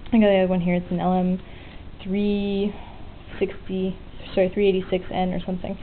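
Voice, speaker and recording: A woman's voice: medium pitch, monotone, a little fast. Woman in phone-like lo-fi audio, casually explaining. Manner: informal, frank, explanatory. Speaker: DIY electronics hobbyist presenting a tutorial. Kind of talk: casual unscripted hands-on demonstration. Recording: muffled phone-like lo-fi audio with faint noise.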